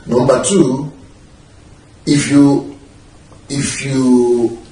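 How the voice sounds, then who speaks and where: Animated man in an echoey room. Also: mid-range voice, very slow. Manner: animated, gesturing, emphatic with laughs. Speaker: pastor being interviewed. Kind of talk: relaxed conversational interview. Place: living room, lounging on a sofa. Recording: echoey room, mic at a distance.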